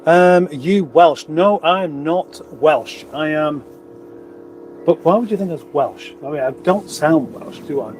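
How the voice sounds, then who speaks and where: A man's voice: on the high side, unhurried, darkish tone, a little hiss or hum. A man with a runny nose, hosting a casual livestream, rambling chattily with wry asides as he reads out comments. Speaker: livestream host. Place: garage.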